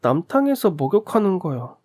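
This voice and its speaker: A man's voice: high voice, very animated, moderate pace, a little mellow, pitched above the performer's own voice. A man voicing a lonely, polite young boy in a radio drama story, speaking earnestly and apologetically.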